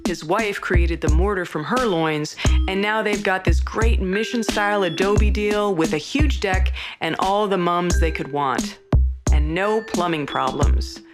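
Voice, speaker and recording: A woman reading a satirical comic monologue in a folksy, deadpan manner. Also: on the low side, measured pace. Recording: loud instrumental music under voice. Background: instrumental music.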